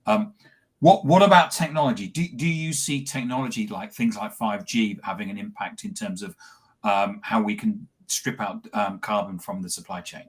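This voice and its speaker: Low-voiced interview host. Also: male voice. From United Kingdom. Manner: conversational, quick follow-up questions. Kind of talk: business interview.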